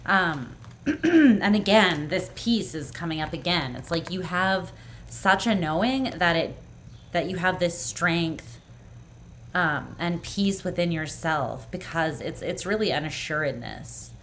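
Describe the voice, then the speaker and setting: A woman with a fairly low voice gives a tarot reading straight to the viewer. She talks in an earnest, reassuring way, full of "like" and "um". Her voice is going hoarse, so she keeps clearing her throat. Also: expressive, takes it slowly, fairly bright tone, a little hiss or hum. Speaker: tarot reader. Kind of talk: pick-a-card tarot reading, speaking to the viewer.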